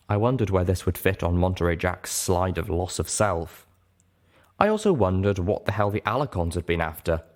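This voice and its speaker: Deep voiced guy reading an audiobook, measured but expressive, first person drama. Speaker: audiobook narrator.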